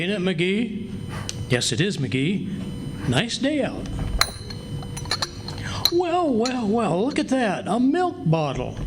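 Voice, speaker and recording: A man's voice: high-pitched, heavily acted. A man playing a folksy, hapless, scheming husband who blusters and wisecracks in an old-time radio comedy. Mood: pleased, scheming. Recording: noisy live stage recording.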